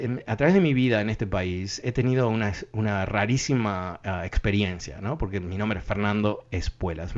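Man, radio host, fairly low voice, earnest commentary, hesitant fillers, muffled lo-fi broadcast. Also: a little mellow. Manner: earnest, thinking aloud with many fillers.

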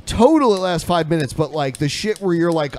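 Higher-pitched man in casual podcast chat. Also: expressive, brisk, a little mellow. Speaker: podcast co-host.

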